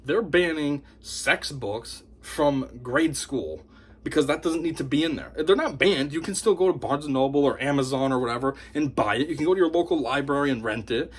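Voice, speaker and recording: A man giving an opinionated monologue to camera as a commentator, talking in a scornful, animated way. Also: fairly high pitch, moderate pace, breathy edge. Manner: animated, scornful, mocks opponents in put-on voices. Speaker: YouTube commentator.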